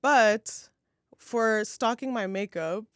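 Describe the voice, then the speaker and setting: A woman's voice: a little high, slow, a bit bright, smooth, no echo, studio quality. A young adult woman co-hosting a casual podcast, chatting with lots of filler words. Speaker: podcast co-host. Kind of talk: casual chat.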